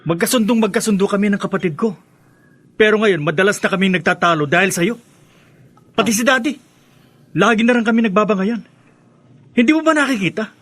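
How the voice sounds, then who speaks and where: An actor playing a rude, resentful young stepson in a Filipino radio drama, with a Filipino accent. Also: male voice, high voice, brisk, long pauses, a bit bright. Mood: resentful, bitter.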